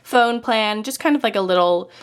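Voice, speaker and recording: A young woman who runs a small art business, talking casually in a vlog with lots of fillers, her voice a little croaky. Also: mid-range voice, normal speed. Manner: chatty, filler-heavy, upbeat. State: slightly croaky, losing her voice.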